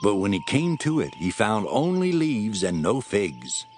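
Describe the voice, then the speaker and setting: Expressive low-voiced male storyteller. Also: a little slow, slight background noise. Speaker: narrator. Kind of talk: scripted Bible storytelling narration.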